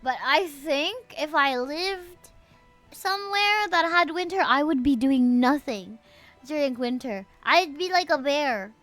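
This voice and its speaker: A woman's voice: sits high, lively intonation, a little slow, a bit bright. A woman livestreaming a video game, chatting casually with viewers in an exclamatory, chatty way. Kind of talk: casual chat with viewers, reading game dialogue aloud. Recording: music behind the voice.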